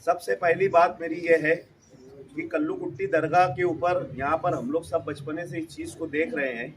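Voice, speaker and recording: A man's voice: on the high side, fairly even intonation, moderate pace, recorded from a distance, background noise. A man making an impromptu, insistent speech at a public meeting, often repeating his points. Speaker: community member speaking at a public meeting. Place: local community meeting, at a distance from the mic.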